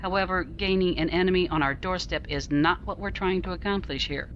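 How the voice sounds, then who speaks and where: A woman's voice: on the low side, fairly quick, muffled recording, a little hiss or hum, natural voice. Dry, commanding female starship captain, played by an actress. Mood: stern, measured. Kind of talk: fan audio drama acting.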